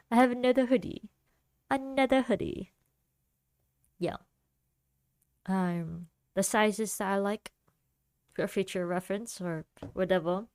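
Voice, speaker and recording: Young woman rambling on her own show, lots of giggles and ums, slowish, dry close sound. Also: medium pitch, clean tone, no room sound. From United States. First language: Vietnamese. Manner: giggly, rambling, many fillers. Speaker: podcast host. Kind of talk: casual solo chat.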